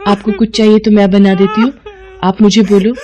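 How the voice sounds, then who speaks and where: A woman voicing a gentle young bride speaking kindly to her family. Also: medium pitch, little pitch movement, a little fast, close to an everyday voice. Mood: caring.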